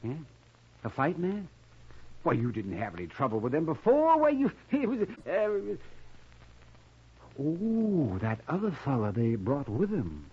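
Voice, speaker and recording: An actor playing a fussy, excitable frontier town doctor in a 1950s radio western, chatty, animated and raspy. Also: male voice, mid-range voice, unhurried, long pauses, exaggerated voice. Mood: agitated. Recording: muffled 1950s radio recording.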